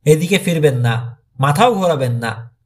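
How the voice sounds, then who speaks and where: A man's voice: fairly high pitch, expressive, a little slow, breathy edge. A man voicing a stern, bold teenage boy who gives harsh, commanding orders in an audiobook.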